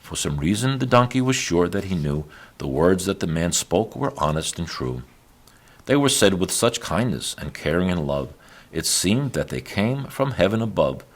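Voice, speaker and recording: A male storyteller with a deep voice reads rhymed narration for children. His delivery is measured and rhythmic, moving expressively up and down in pitch. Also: big pitch swings. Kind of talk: children's read-aloud, rhymed scripted narration.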